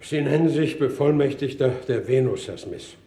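An actor playing a suspicious, easily exasperated war minister in a 1960s radio drama, questioning in an imperious manner. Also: male voice, mid-range voice, lively intonation, moderate pace, mellow, natural voice. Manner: interrogating, imperious. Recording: echoey, slightly noisy old radio drama.

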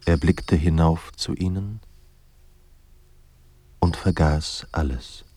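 A man performing as a somber narrator of a troubled writer's life in a radio drama, with measured, grave delivery. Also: low-pitched, steady tone, warm tone, slightly rough, no room sound, exaggerated voice. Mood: grave, awed. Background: music.

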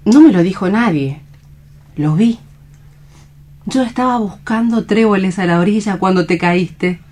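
A woman's voice: medium pitch, unhurried, darkish tone, lightly acted. An actress playing a devoted, earnest young woman with a lyrical, emotive delivery in a radio drama. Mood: anguished. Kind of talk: radio theatre dramatic reading.

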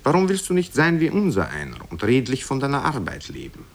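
A man's voice: mid-range voice, wildly swooping pitch, fairly quick, voice pushed up. A man voicing indignant townsfolk in a storybook tale, reproachful and declamatory. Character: indignant, scolding townsfolk speaking as one.

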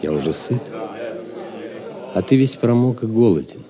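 An actor playing a humble, compassionate pilgrim in a Russian audio drama. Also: male voice, mid-range voice, animated, moderate pace, a crowd in the background, lightly acted. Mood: kind, quiet. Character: kindly, humble wandering pilgrim, quietly compassionate.

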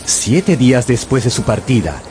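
A man's voice: medium pitch, big pitch swings, normal speed, a little mellow, a little hiss or hum. A man narrating a radio novel as a scripted, dramatic storyteller.

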